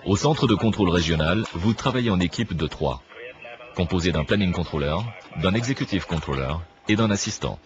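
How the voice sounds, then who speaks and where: A man reading a scripted documentary narration in a measured, didactic manner. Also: low voice, expressive, dull audio, a crowd in the background. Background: clear background music.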